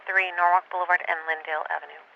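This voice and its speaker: A high-pitched man with heavy gravelly creak dispatches police calls in short procedural bursts. His voice comes over a muffled police radio with faint noise. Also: flat delivery, very fast. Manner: clipped procedural phrasing.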